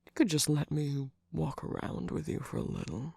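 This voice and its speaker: A man voicing a smug, teasing villain's henchman in a romantic ASMR roleplay, breathy and gravelly, drawling and dropping into whispers close to the mic. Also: a little high, big pitch swings, normal speed, mellow, no echo, heavily acted. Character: teasing, jaded, smug male villain's minion cookie. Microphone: close microphone, dry sound.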